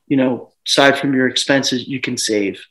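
A man in his thirties from the United States, chatting on a video call about selling his home, rambling with lots of restarts and animated bursts. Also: medium pitch, normal speed. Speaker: home seller. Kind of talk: casual video call chat. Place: dim living room with sofa. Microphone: laptop webcam on video call.

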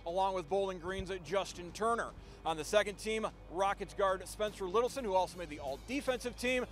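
High-voiced male sports reporter. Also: slight background noise. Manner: brisk, even newscast delivery.

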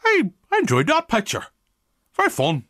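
A man playing an excitable, sentimental, exclamatory film director in a comic radio drama. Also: high-pitched, moderate pace, exaggerated voice. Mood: elated. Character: excitable, sentimental male film director, blue then joyful.

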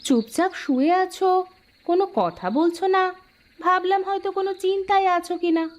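A woman's voice: extremely high pitch, expressive, fairly quick. A woman voicing a caring, inquisitive village wife in a fairy-tale cartoon, with a homely, questioning manner. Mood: concerned, coaxing.

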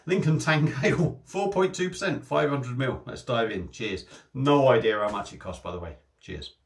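A man's voice: mid-range voice, animated, normal speed, vocal fry. A man, casually reviewing a beer to camera from a small home room on a desk webcam, chatty and prone to restarting his phrases.

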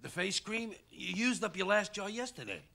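An actor playing a loyal, worried stand-in and friend in an animated series, pleading and concerned. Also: male voice, high-pitched, normal speed, gravelly, slight background noise, full character voice. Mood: placating. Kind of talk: dramatic animated series acting. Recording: loud music under the dialogue.

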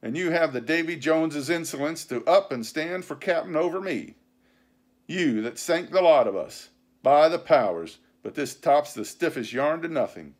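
A scornful, commanding pirate captain from a sea-adventure storybook. The voice rides high and swoops widely, full of sly sarcasm and blustering, salty sailor talk. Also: male voice, very animated, normal speed. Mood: indignant, blustering. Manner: rough nautical slang, sarcastic, blustering speechifying.

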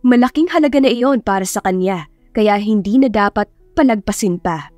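A woman's voice: mid-range voice, expressive, moderate pace, a little mellow, no room sound, soft background music. A woman with a Filipino accent narrating a scripted story in a dramatic storytelling style. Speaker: audiobook narrator.

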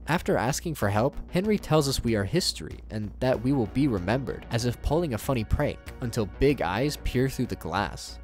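A man giving scripted video commentary with wry, joking asides. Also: on the low side. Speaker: YouTube horror series commentator. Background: music bed.

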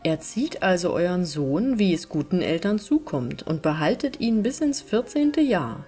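Lady reading a polite but commanding devil gent from a fairy tale, formal and measured, music behind. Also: fairly low pitch, big pitch swings, warm tone, slightly rough, exaggerated voice. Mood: solemn, commanding. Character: the devil as a courteous, commanding male stranger.

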